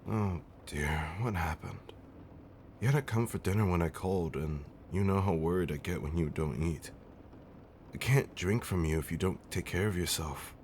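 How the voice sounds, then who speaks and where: Deep-voiced, doting vampire husband played by a man. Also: darkish tone, a little hiss or hum. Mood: tender concern. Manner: old-fashioned, genteel wording with dramatic pauses. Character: centuries-old male vampire husband, tender, doting, theatrically fussy. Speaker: audio roleplay performer. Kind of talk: intimate comfort roleplay, ASMR-like storytelling.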